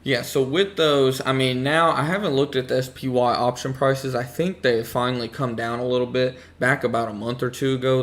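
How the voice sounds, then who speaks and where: A young man casually explaining his trading to viewers on a livestream, relaxed and full of fillers. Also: medium pitch. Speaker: stock trading streamer.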